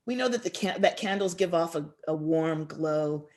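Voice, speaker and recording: A woman speaking as a guest author, reflective and expressive, deliberately drawing out words for emphasis. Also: low voice. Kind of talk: reflective interview answers and poetry reading.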